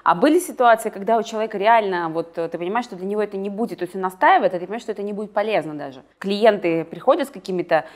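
A woman hosts a business interview, asking questions and turning to speak straight to her audience. Her voice sits at a middle pitch. She is engaged and promotional when wrapping up. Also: rapid-fire, few pauses. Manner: engaged, addresses viewers directly with calls to action. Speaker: host. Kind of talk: interview host chat.

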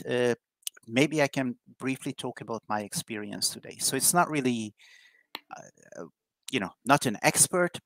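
A man in his forties from Hungary with a Hungarian accent, a guest on a video-call interview, halting and self-deprecating. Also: lowish voice, vocal fry, a bit bright, no room sound. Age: 40s. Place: home room indoors. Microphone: headset on video call.

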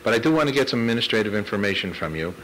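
Brief: male talk-radio host, low voice with a forceful edge, chatty and quick to laugh. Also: lively intonation, slight background noise, poor audio. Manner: chatty, laughs often, reads out a list. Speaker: radio show host and producer. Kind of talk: casual phone interview.

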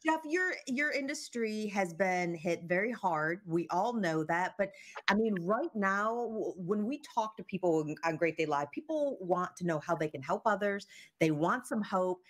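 A woman's voice: medium pitch, lively intonation, moderate pace. Chatty woman hosting a TV interview. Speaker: TV talk show host.

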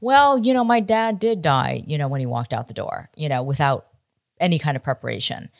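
A woman's voice: sits low, lively intonation, brisk, vocal fry. A middle-aged woman hosting a podcast from home on a studio mic, earnest and effusive in casual interview chat. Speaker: podcast host. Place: home room, indoors. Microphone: studio mic on arm with headphones.

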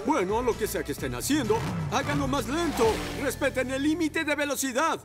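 An actor voicing an earnest, fussy motorcycle police officer in an animated children's dub, with emphatic delivery. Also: high-pitched, normal speed. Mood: amused, admonishing. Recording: loud cartoon music behind.

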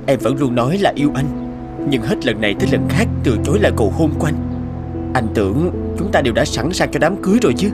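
A man playing an earnest, patient young man in dubbed animated dialogue. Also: mid-range voice, fast, close to an everyday voice. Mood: pleading, earnest. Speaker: voice actor in an animated moral tale. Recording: music behind the voice.

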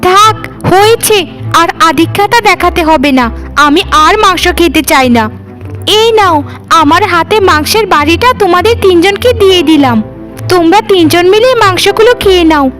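Very high-pitched haughty young woman, acted by a woman. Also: moderate pace, fairly bright tone. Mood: sulky. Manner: haughty, scolding, threatening. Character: arrogant, spoiled young sister-in-law, quick to take offence. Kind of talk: cartoon voice acting. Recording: music behind the voice.

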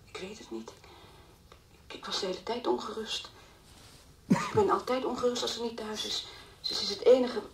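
An actress playing the anxious, distraught mother of a missing girl in a Dutch radio play, halting and repeating her words. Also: fairly high pitch, fairly even intonation, creaky. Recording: old radio play recording, faint hiss.

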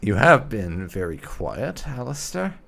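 Gravelly low-voiced man commenting on a game. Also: big pitch swings, a little slow, a bit bright. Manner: blunt, sweary. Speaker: gamer playing and commenting on a role-playing game. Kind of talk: gameplay commentary, partly in character.

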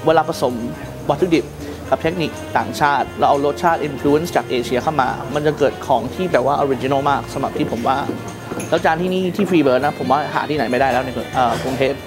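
Relaxed male chef over background music. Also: medium pitch. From Thailand. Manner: relaxed, mixes English terms, measured. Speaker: executive chef. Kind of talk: to-camera introduction. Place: restaurant kitchen indoors. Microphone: lapel. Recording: music bed under voice.

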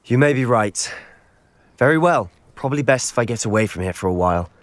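An actor playing a defiant, proud teenage wizard in a game cutscene, insistent and emphatic. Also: male voice, medium pitch, animated, brisk, close to an everyday voice. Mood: defensive. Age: teens. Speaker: game character. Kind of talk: video game cutscene acting. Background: music.

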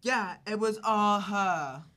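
A man voicing a bossy, scheming young daughter of a mafia boss in a falsetto voice. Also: slow, sharp tone, exaggerated voice.

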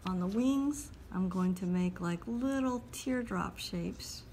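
A woman calmly talking through a step-by-step drawing lesson as she sketches at a table. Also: on the low side, a little hiss or hum. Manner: calm, unhurried, talks while drawing. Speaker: drawing instructor. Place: at a table indoors.

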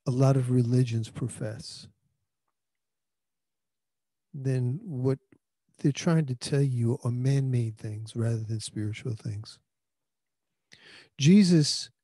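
New guy in his 50s giving a sermon, warm relaxed tone, handheld mic, talks like a conversation and restarts a lot. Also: mid-range voice, breathy edge, no room sound. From New York. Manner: earnest conversational preaching with frequent restarts. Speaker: pastor. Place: small indoor room. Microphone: handheld microphone.

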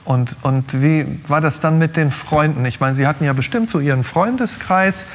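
Male interviewer with a higher-pitched voice. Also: moderate pace. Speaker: talk show host. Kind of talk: conversational interviewing. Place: large TV studio.

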